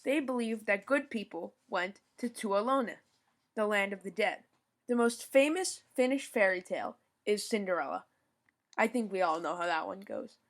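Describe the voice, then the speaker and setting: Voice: medium pitch, animated, moderate pace, fairly bright tone. A young podcast host giving a scripted informational rundown, now and then stumbling over foreign names and apologizing.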